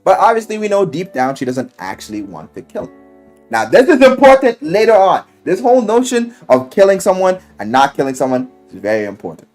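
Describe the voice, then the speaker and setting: A man telling a story casually in slang and swearing, as an animated video game reviewer who acts out what people say. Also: high-pitched, brisk, soft background music. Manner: animated storyteller, acting out quoted lines.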